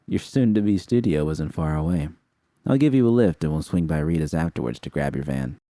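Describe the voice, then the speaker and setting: A man reading a fan story voices a sly, teasing young woman mercenary, raising his pitch for her. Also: expressive, a little fast, dark tone, no echo, studio quality.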